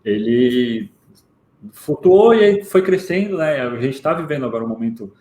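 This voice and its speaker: A man's voice: medium pitch, expressive, normal speed, warm tone. A man with a Brazilian accent interviewing casually, feeling his way through questions with frequent check-in tags. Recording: echoey room with faint noise.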